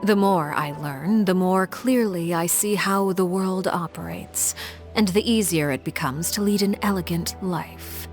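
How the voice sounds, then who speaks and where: An actress playing a poised, calculating woman leader who speaks in a formal, measured way, with faint music behind. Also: fairly low pitch, a little mellow. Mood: composed. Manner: formal, measured, precise diction. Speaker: video game character. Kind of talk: scripted game voice lines.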